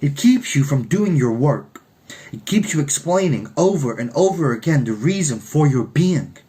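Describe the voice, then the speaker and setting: Man as grave older American woman orator, higher pitch. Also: expressive, moderate pace, dark tone, close to an everyday voice. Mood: grave, emphatic. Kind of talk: public oration within a story reading.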